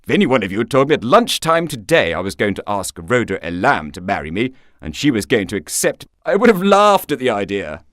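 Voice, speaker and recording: A man voicing a casual, slightly sheepish young English gentleman in a story, offhand and lightly bemused. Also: fairly low pitch, very animated, fairly quick, few pauses, no echo, studio quality.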